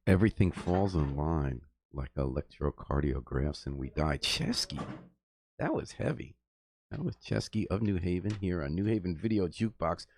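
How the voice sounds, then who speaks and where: Low, gravelly man hosting a music show. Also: lively intonation, normal speed, a little mellow, a little hiss or hum. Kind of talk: casual spoken introductions to music videos.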